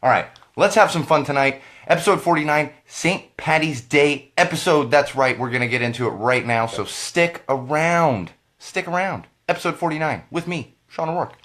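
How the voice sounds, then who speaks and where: A man hosting a live podcast in a casual, ranting way, hoarse and congested from a cold, often repeating himself as he talks straight to his viewers. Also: a little high, moderate pace, hardly any pauses, a bit bright. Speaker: podcast host.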